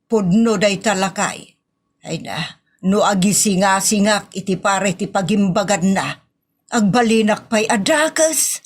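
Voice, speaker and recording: Gossipy, scheming aunt played by a woman, mid-pitched, complaining, Filipino Ilocano accent, audio comedy. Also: a little slow, close to an everyday voice. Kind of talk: comic audio drama acting.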